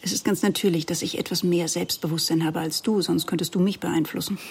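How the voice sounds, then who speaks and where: An actress playing a self-assured, critical middle-aged woman in a radio drama, controlled and superior, with a breathy, slightly husky voice. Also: fairly low pitch, fairly even intonation, fairly quick, a little mellow. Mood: cool, superior.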